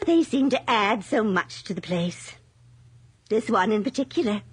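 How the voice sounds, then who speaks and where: An actress playing a chatty, absent-minded elderly hostess in a radio drama, sweetly polite and rambling. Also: female voice, medium pitch, lively intonation, measured pace, slightly rough. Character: chatty, dotty, lonely elderly woman, eager hostess.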